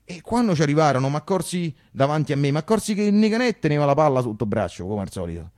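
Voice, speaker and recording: A man with a Roman accent playing a naive, animated working-class character recalling his wartime boyhood in a colloquial, emphatic radio monologue. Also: on the high side, quick pace. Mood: vivid recollection. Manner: emphatic, repetitive, conversational.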